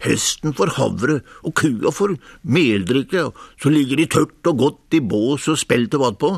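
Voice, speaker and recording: A man's voice: mid-range voice, expressive, creaky, breathy edge, no room sound, studio quality. A man voicing a simple, grumbling pig in a folk tale, plaintive and mumbling. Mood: grumbling complaint. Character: grumbling, simple-minded male pig, easily led.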